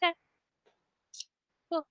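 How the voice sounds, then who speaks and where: A woman's voice: slow pace, sharp tone, dry recording. A woman playing a tabletop game online, chatting informally and asking inquisitive questions. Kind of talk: casual chat and game questions.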